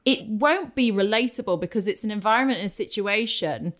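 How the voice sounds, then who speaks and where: A woman's voice: medium pitch, fairly quick, a bit bright. A woman hosting a podcast interview, effusive and enthusiastic as she introduces and questions her guest. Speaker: podcast host.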